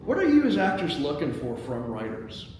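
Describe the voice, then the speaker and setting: A man's voice: fairly high pitch, huge pitch swings, normal speed, a little mellow. Echoey man hosting a table read. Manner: hesitant, repeats words while thinking aloud. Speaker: host and stage-direction reader of a screenplay table read. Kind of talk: reading action lines and giving feedback to a group. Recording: very echoey hall, distant mic, noisy.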